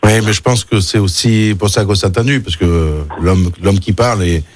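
A chef from Marseille with a Marseille accent, talking warmly in a casual radio interview, often restarting his sentences. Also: lowish voice, moderate pace, dry recording. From Marseille, France. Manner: warm, emotional, many restarts.

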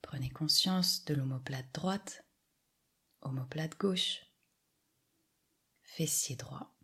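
A woman guiding a yoga meditation with soft, soothing scripted instructions and long pauses. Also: sits low, breathy edge. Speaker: yoga nidra relaxation guide.

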